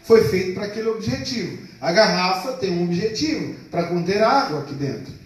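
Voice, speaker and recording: A pastor preaching a sermon to a congregation, calling out for responses and drawing out key words slowly. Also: male voice, sits high, expressive. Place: church hall. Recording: echoey hall, mic far off.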